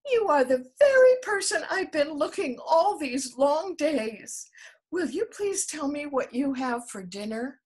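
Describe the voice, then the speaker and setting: A woman's voice: sits high, very animated, measured pace, a little mellow, pitched above the performer's own voice. A woman voicing a young male elephant child in a storybook, very polite, earnest and curious. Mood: eager.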